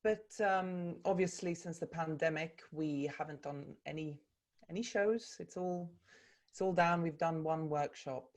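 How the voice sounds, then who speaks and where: A Swedish woman with a low, deep voice talks in English as an interview guest over a video call. She chats in a relaxed way and pauses to think, often with ums and restarts. Her first language is Swedish. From Sweden. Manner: thoughtful, with frequent ums and restarts. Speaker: actor and voice coach, interview guest.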